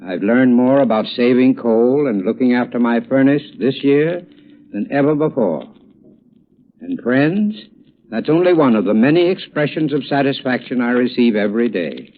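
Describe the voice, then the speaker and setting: A phone-thin 1930s radio recording carries a man with an American accent reading a commercial. He is earnest, formal and deliberate, with a mid-range voice. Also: medium pitch, lively intonation, phone-quality sound. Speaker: radio advertising spokesman.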